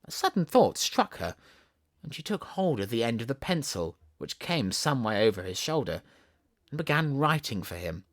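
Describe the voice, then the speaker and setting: A man with a Southern English accent narrates a children's storybook. His reading is theatrical and expressive, rising and falling widely in pitch. The sound is close and dry. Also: moderate pace, no echo. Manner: theatrical, animated. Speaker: audiobook narrator.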